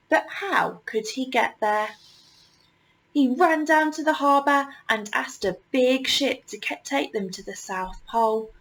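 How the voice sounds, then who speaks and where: Woman reading a kids picture book, slightly high voice, takes her time w pauses. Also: creaky, a bit bright, poor audio. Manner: measured, with deliberate pauses. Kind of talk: children's story read-aloud.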